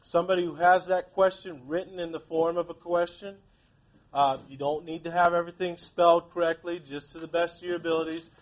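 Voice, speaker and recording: A male teacher with a fairly high voice leads an interactive read-aloud lesson. He keeps prompting his pupils with questions and gives them instructions as he goes. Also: fairly even intonation, a little fast.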